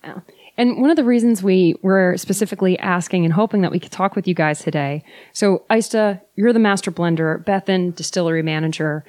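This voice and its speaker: A woman's voice: fairly low pitch, a little fast, darkish tone, no echo, clean studio sound. A woman hosting a podcast in a casual, friendly, upbeat way. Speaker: podcast host.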